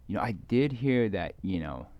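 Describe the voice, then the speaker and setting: A man giving a rambling, casual monologue to viewers, halting and repeating his words as he trails off. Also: fairly low pitch, very animated, gravelly, no room sound, highs cut off. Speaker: video creator speaking to viewers.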